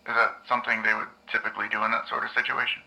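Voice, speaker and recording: A man playing a troubled, unsure therapy patient in an audio drama, talking haltingly. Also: on the low side, flat delivery, creaky, bright, forceful tone, full character voice, poor audio. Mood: uneasy, unsure. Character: troubled, hesitant male therapy patient with lost memories. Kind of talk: scripted audio drama dialogue.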